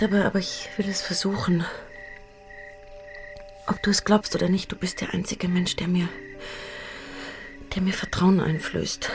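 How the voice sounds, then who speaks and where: An actress plays a troubled young woman in an intimate radio drama. Her medium-pitched voice hesitates, repeats words and slips into breathy near-whispers, as if confiding something painful. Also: a little mellow, lightly acted. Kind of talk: radio play acting, intimate dialogue.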